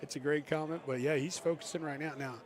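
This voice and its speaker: A man giving excitable live pool commentary as a team captain, coaching the players aloud. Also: a little high, fast talker. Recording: loud crowd around.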